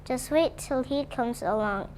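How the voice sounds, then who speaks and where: A woman voicing a frightened, middle-sized male goat in a storybook reading, pleading quietly. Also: high-pitched, measured pace, a little hiss or hum.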